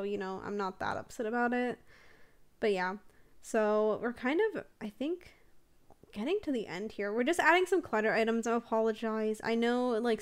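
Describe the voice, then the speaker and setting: A woman's voice: mid-range voice, a little mellow. Chatty young woman, allergy-stuffy. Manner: rambling, chatty, giggles often, many fillers. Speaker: Sims builder and streamer. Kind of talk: casual voiceover over a speed build.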